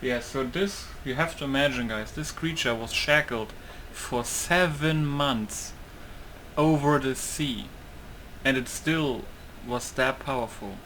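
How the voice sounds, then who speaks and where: A man's voice: mid-range voice, slow pace, a bit bright, rough recording. Man doing casual game commentary over noise. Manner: informal, thinking aloud in short bursts. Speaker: gaming video host. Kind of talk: casual let's play commentary.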